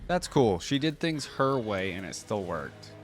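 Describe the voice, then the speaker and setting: Male reaction host, lower register, informal thinking-out-loud delivery, music clearly playing behind. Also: big pitch swings, measured pace. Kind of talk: casual reaction commentary. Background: game cinematic and music playback.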